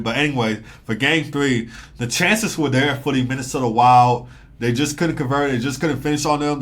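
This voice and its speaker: A man with a stuffy, sniffly nose runs through a hockey breakdown live, at a middling pitch for a man. He rambles, circles back to the same points and leans on fillers and restarts as he goes. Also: fairly bright tone. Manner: rambling, repeats himself, frequent fillers and restarts. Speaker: hockey podcast host. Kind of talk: casual live sports analysis.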